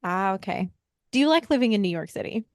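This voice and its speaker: A woman hosts a podcast interview, asking friendly, encouraging questions and filling pauses with short fillers. Her voice sits at a middle pitch and sounds dry and close to the mic. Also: lively intonation, no echo. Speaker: podcast host.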